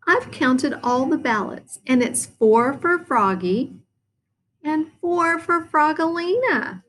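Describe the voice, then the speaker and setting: Storybook schoolmistress, voiced by a woman: patient, gentle, instructive, high and slow-paced. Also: animated, slight background noise, full character voice, low-quality recording. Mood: announcing. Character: kindly, patient female primary school teacher.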